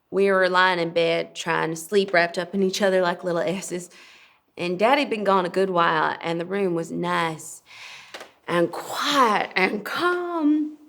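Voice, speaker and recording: Young actress as weary abused daughter, gravelly creak, unhurried halting monologue. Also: female voice, fairly low pitch, a bit bright, exaggerated voice. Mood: tense narration. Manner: halting, deliberate storytelling. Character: abused daughter, weary and protective, recounting trauma. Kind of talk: dramatic stage-style monologue.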